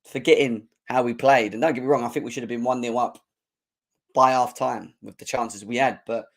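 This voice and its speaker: Young bloke on a live footy stream, voice gone croaky and hoarse, chatty and hyped, coughing now and then. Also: male voice, medium pitch, brisk. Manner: energetic, clears throat and coughs often. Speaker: football fan show host.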